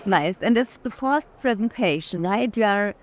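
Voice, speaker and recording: A woman's voice: medium pitch, expressive, normal speed. A woman acts as host and curator on a walking tour. She speaks in an effusively welcoming manner, addressing listeners and her guest in a conversational style.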